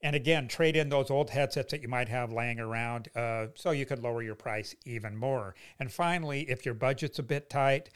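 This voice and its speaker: A middle-aged American man speaks as a podcast host at a medium pitch. His delivery is conversational and explanatory, with a folksy sales touch, as if walking a customer through a product. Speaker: podcast host, company founder.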